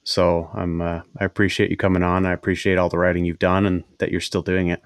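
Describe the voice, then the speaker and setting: A man with a New England accent hosts a casual podcast conversation. His voice sits very low, with a faint nasal whine. He rambles and restarts often in a dry, close recording. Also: level tone, quick pace, no echo. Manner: rambling, hesitant, many restarts. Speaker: podcast host. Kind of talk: casual interview chat.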